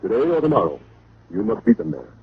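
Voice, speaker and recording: A man's voice: medium pitch, huge pitch swings, quick pace, vocal fry. An actor playing a formal, businesslike male insurance executive in an old radio drama, urgent and matter-of-fact. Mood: urgent, insistent. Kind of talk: 1940s-50s radio drama acting. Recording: noisy, phone-like old radio recording.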